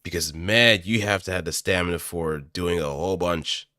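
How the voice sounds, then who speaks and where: A man's voice: deep voice, moderate pace, bright, forceful tone, no echo. A man talking casually and informally on his own into a close studio mic, rambling a little as an amateur voice actor. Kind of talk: casual solo chat while practicing voice lines. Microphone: close studio microphone through an audio interface.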